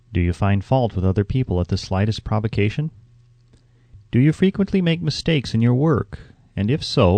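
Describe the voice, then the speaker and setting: Low-pitched, animated male audiobook narrator. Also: no echo. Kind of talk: scripted audiobook narration of a self-help book.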